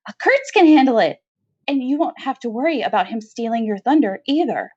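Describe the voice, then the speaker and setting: A woman's voice: on the high side, animated, fairly quick, warm tone, muffled recording, voice pushed up. Woman playing an anxious, bold female convict. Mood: eager, nervous. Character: quick-thinking female convict killer, anxious but bold flatterer.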